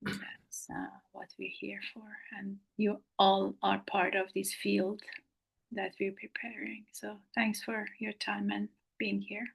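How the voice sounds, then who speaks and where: A woman in her fifties from northern Iran, soft-spoken and unhurried, introducing herself on a video call. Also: medium pitch, level tone, vocal fry, husky, poor audio. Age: 50s. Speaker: co-host of prayer gathering. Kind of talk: casual introduction. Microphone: video call microphone.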